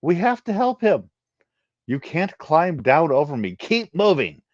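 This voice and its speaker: A man's voice: on the high side, sing-song, unhurried, no echo, dull audio, voice pushed up. A man voicing an earnest, urgent woman in a sci-fi adventure story. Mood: urgent, pleading.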